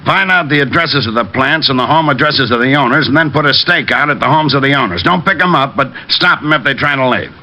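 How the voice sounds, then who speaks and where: No-nonsense American cop, very sharp tone, lively inflection, 1940s radio drama. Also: male voice, medium pitch, quick pace, few pauses. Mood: brisk, alert. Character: brisk, no-nonsense American police lieutenant. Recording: noisy old radio recording.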